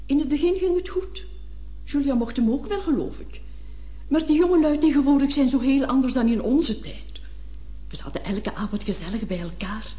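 A woman's voice: high voice, lively intonation, moderate pace, warm tone. A woman playing a cordial, chatty, genteel older mother in a radio play, gushing as she hosts. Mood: wistful, confiding. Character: cordial, chatty old lady, eager hostess mother. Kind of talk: Dutch-language radio play acting.

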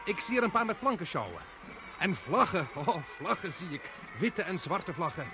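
A man's voice: sits high, sing-song, moderate pace. An actor playing a chatty, showy bystander who talks in salesman-like patter and commentary in a radio play. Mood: excited announcing. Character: chatty, showy bystander man turned excited event commentator. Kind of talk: Dutch radio play acting.

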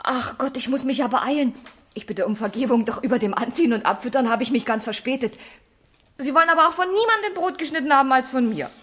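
An actress playing a lively, warm-hearted young country gentlewoman in a period radio drama, speaking in a spirited, frank way. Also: sits high, fast talker, breathy edge, heavily acted. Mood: flustered, friendly. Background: music.

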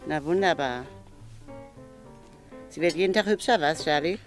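An actress playing a chatty, good-natured newspaper seller in a German radio play, with a homely manner. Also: female voice, sits low, normal speed, natural voice. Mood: friendly, chatty. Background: faint music.

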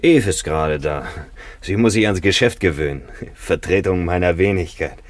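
A man's voice: low voice, moderate pace, no echo, close to an everyday voice. A man acting a wry, chatty small-town petrol station keeper in a German radio play, folksy and colloquial. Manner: folksy, colloquial, deferential to the doctor.

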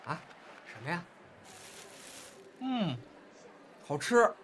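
Audio drama junior apprentice male actor: eager, cheeky, pouty, animated, with clear background noise. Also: sits high, drawn-out words, exaggerated voice. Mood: curious.

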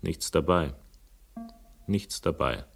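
An actor playing a world-weary, sardonic hero of a radio crime drama, speaking in a dry, laconic way. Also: male voice, low-pitched, slow, creaky, music in the background, full character voice. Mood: brooding, sardonic. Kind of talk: German radio crime drama, first-person narration and dialogue. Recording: faint noise, older radio production.

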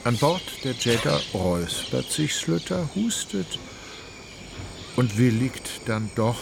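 A man's voice: medium pitch, sing-song, slow, creaky, background noise. A man narrating a literary radio play with dramatic, ornate storytelling. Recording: loud music behind voice.

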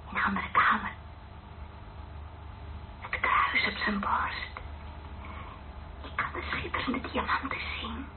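An actress in a radio play playing an anguished woman in love, intense, moving between cries and slow whispers. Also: fairly high pitch. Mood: hushed, entranced. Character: anguished woman in love, confessing her lies. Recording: narrow, noisy old radio recording.

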